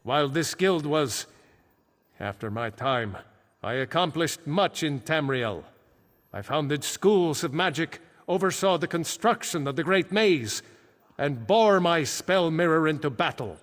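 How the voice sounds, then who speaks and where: An actor playing a solemn ancient archmage back from death, speaking gravely and formally in fantasy video game dialogue. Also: male voice, fairly high pitch, animated, measured pace. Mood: grave, proud. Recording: echoing game hall with long reverb.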